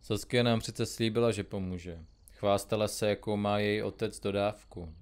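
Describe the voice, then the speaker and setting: A man plays a stoic, grumbling male detective in a comedy radio play. His voice is low and his delivery is dry and deadpan, that of a confirmed grouch. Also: clear, resonant tone, natural voice. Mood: weary, grumpy. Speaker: detective in a comedy mystery podcast. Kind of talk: audio drama acting.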